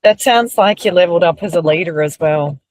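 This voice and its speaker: A woman hosting a podcast interview, affirming her guest with frequent soft responses. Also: fairly low pitch, brisk, dry recording. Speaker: podcast host.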